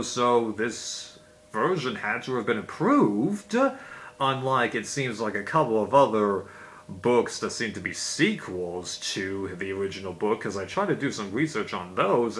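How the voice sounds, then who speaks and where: Casting: male video host, slightly low voice, rambling casual explainer delivery, faint noise and hum on a home recording. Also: a bit bright. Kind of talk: casual unscripted explainer commentary.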